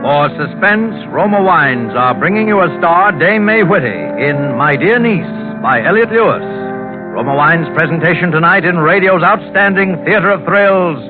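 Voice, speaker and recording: A man's voice: a little high, lively intonation, moderate pace. Old radio show announcer man, dramatic and formal, big music behind, tinny. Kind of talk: 1940s radio program introduction, scripted.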